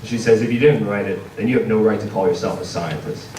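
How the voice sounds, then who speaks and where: A man giving an informal convention panel presentation in a hesitant, halting way. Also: on the low side, a little mellow. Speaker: panel presenter for a game publisher. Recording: distant mic in echoey, noisy room.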